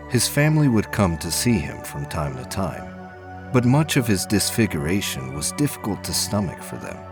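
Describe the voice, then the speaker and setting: A man narrating a dramatic science fiction story in a measured, grave way. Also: low voice.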